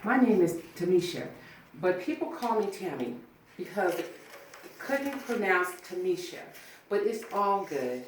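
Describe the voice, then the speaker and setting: A woman's voice: on the low side, very animated, a little slow. A woman playing a friendly, chatty female barber in a cold read of a film script. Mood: relaxed, friendly. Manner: casual, plain-spoken, chatty. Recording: very echoey room, distant mic, faint noise.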